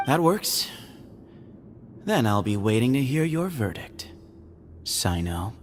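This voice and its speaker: A young heir to power in a fantasy game. He is shrewd and self-assured, with a mid-pitched voice that moves freely up and down. Faint music sits behind him. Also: big pitch swings, slow. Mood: cool, composed. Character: shrewd young male heir to a temple leader.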